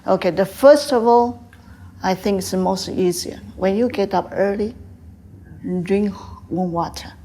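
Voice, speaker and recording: An older woman from Taiwan with a Taiwanese accent, answering interview questions in halting English with frequent fillers. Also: medium pitch, mellow, a little hiss or hum. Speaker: guest, tea house owner.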